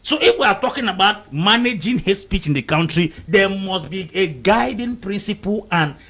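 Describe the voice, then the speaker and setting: A man's voice: sits high, lively intonation, moderate pace, a bit bright. A man from Cameroon arguing emphatically as a panel guest in a heated debate, repeating and driving home his points. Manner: emphatic, repetitive, lecturing.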